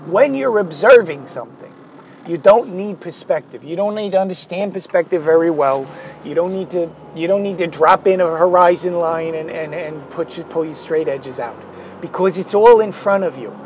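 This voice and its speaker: A man with a hoarse, failing voice talking through an art lesson, digressive and hesitant with many fillers and sardonic asides. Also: on the high side, moderate pace, muffled recording, a little hiss or hum. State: hoarse, losing his voice. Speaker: art instructor. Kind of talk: rambling spoken art lesson.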